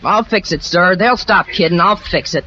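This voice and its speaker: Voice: mid-range voice, normal speed, bright, forceful tone, lightly acted. A performer playing a blunt, earnest teenage schoolboy in 1940s radio drama style. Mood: apologetic, eager. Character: blunt, teasing teenage schoolboy, quick to apologize. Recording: muffled old radio recording, faint hiss.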